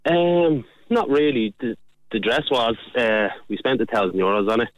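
A man's voice: mid-range voice, normal speed, no echo, low-quality recording. A middle-aged Irish man phoning in to a radio show, talking in an easygoing, slightly defensive way. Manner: easygoing, defensive, repeats "you know what I mean". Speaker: caller.

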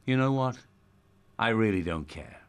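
Casting: a jaded, defensive radio host in dark comedy; low, husky, slow and sardonic. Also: male voice, expressive, dry recording. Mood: dismissive. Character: jaded, self-important male radio show host, cynical and defensive. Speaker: actor playing a radio host. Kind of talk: darkly comic radio drama acting.

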